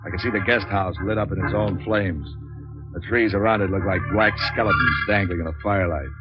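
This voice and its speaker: An actor playing a cynical, wisecracking troubleshooter in a 1940s radio crime drama, with a terse deadpan delivery. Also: male voice, low voice, fairly quick, over loud music, natural voice. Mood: grim, deadpan. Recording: dull lo-fi 1940s radio recording.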